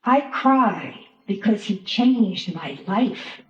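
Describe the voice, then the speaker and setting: A woman playing a grieving, once-shamed follower of Jesus in a Passion drama, halting and sorrowful. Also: medium pitch, expressive. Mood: grieving, tender. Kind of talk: dramatic monologue in a church Passion play. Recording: echoey, slightly dull, music behind.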